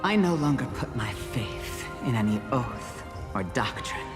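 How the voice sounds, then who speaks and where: A man playing a weary, disillusioned guardian in a dramatic game cutscene, speaking in a grave, solemn, measured way. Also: medium pitch, lively intonation, lightly acted. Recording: long reverb under clear music.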